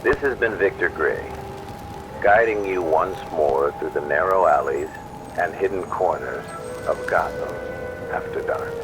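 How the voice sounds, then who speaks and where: A man performs a brooding late-night radio host in an audio roleplay. His deep voice delivers a scripted monologue in a measured, theatrical, ominous way. Loud music and rain play behind him. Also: rough recording.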